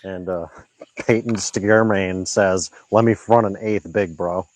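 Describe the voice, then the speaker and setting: Laid-back low-voiced man reading chat. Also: fairly even intonation, measured pace, no room sound, poor audio. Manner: laid-back, reads chat messages aloud, swears casually. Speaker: livestream co-host. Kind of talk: casual livestream chat.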